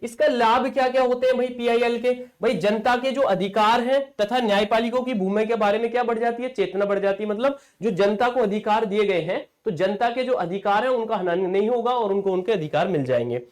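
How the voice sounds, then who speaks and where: पढ़ाते हुए सवाल-जवाब करने वाला पुरुष शिक्षक. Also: male voice, high voice, brisk, few pauses. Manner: asks rhetorical questions and answers them himself; repetitive, explanatory. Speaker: exam coaching teacher. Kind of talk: lecture for exam preparation.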